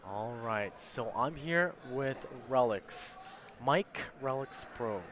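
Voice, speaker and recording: A man conducts a relaxed on-site interview at a gaming event. His voice sits at an average pitch and sounds slightly worn, as if he is losing it. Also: slow. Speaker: esports interviewer. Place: large indoor gaming event venue.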